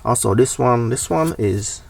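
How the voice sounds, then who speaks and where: A man's voice: mid-range voice, lively intonation, unhurried, creaky, a little mellow, distant mic. A man presenting a casual, hands-on DIY explainer.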